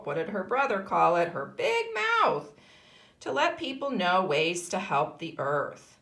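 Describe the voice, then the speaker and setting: American kindergarten teacher, very lively woman's pitch. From United States. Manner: instructive, asks questions and answers them herself. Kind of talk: read-aloud lesson for young children.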